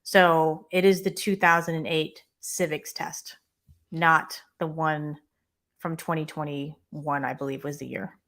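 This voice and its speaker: A woman co-hosting a live immigration Q&A stream, chatting and giving quick practical tips. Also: low voice, level tone, fairly bright tone. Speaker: immigration lawyer co-host.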